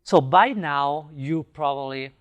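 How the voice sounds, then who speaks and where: Man, Italian accent, conference talk, slightly high voice, enthusiastic, hesitant fillers. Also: slow pace. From Italy. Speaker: conference speaker. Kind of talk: tech talk with audience Q&A.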